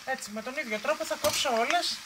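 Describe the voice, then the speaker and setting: A middle-aged woman hosting a home cooking demonstration in her kitchen, explaining each step in a homely, encouraging way. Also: high-pitched. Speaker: cooking show host. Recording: kitchen noise behind voice.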